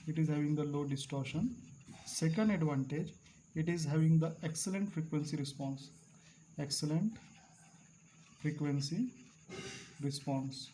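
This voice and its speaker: A male teacher explaining a device to students, repeating key terms slowly and clearing his throat now and then. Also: a little high, mellow, a little hiss or hum, rough recording. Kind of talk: classroom lecture at a board.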